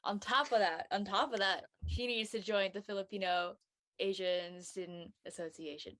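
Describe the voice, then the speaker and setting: A woman's voice: medium pitch, fairly even intonation, moderate pace, fairly bright tone. Woman chatting casually and emphatically. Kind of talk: casual chat.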